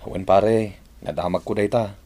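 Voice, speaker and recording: A man's voice: on the low side, fairly even intonation, a little slow, mellow. A man acting a righteous, disapproving Filipino village neighbour in an Ilocano radio drama.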